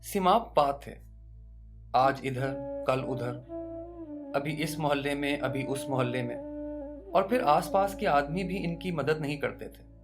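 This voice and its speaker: A narrator reading a literary short story in a measured, dramatic way, with rhetorical questions and deliberate pauses. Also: medium pitch. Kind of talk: literary audiobook narration, scripted first-person short story. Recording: music bed under the narration. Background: instrumental music.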